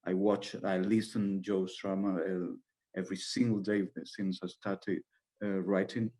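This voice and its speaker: A man with a fairly low voice and a Spanish accent answers as a playwright in a Q&A. He is a native Spanish speaker who halts often to search for his words. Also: level tone, unhurried, mellow. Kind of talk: halting Q&A answer.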